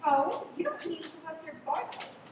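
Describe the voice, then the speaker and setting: Actress doing stubborn skeptical young woman in a radio play, super high voice, lots of echo. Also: animated, normal speed. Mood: mocking, skeptical. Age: young adult. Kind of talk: live radio play acting. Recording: echoey, noisy livestream, distant mic.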